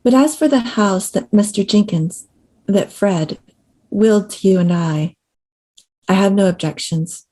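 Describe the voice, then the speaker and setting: Casting: actress as a guarded, formal widow with a soft, dark-toned, mid-pitched voice. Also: female voice, unhurried, natural voice. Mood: composed, guarded. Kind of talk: screenplay table read.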